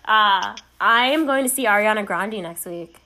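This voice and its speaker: Creaky, bright-voiced young woman co-host. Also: mid-range voice, moderate pace. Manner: self-deprecating, hesitant trailing-off. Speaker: podcast co-host. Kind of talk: casual banter.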